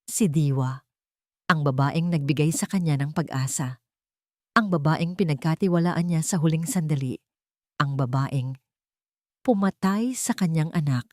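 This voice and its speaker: A woman narrating a scripted audio drama in a measured, dramatic manner, pausing for suspense. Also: deep voice, darkish tone, no echo, clean studio sound. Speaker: story narrator.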